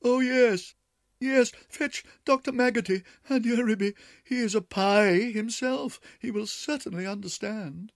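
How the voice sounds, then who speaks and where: A man's voice: medium pitch, wildly swooping pitch, unhurried, husky, no echo, studio quality, voice pushed up, full character voice. A man doing a whining, panicky lady dog. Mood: pleading. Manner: whining, melodramatic. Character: anxious, greedy female dog guest.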